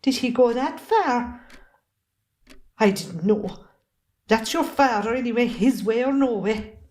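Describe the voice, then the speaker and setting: A woman's voice: on the high side, animated, takes it slowly, a little mellow. A woman with an Irish accent playing a long-suffering, fiery middle-aged wife and mother in an amateur drama. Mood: fretful, weary. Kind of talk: amateur stage drama acting.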